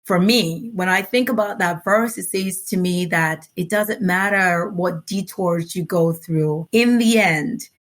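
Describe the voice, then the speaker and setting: A woman from Nigeria, speaking American English with a light Yoruba tinge, talks as a guest on a podcast. She is earnest and reflective, laughs easily, and slows down to stress her points. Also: lowish voice. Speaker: guest author. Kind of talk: relaxed podcast interview.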